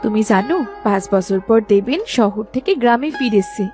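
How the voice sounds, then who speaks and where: A woman's voice: on the high side, moderate pace, dark tone, close to an everyday voice. A woman playing a guarded, nervous village sister-in-law in a cartoon story, speaking in a chatty but evasive way. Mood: anxious, confiding. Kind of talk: dramatized Bengali cartoon story dialogue. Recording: music behind the voice.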